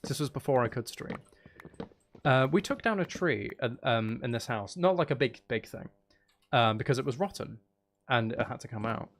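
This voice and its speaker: A man live streaming in a casual, chatty way, with dry, teasing humour while he plays a game. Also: mid-range voice.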